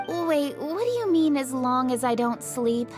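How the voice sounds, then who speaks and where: Decisive young stateswoman, video game: formal, businesslike, higher-pitched, faint music behind. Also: lively intonation, measured pace. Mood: concerned. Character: poised, businesslike young female government official.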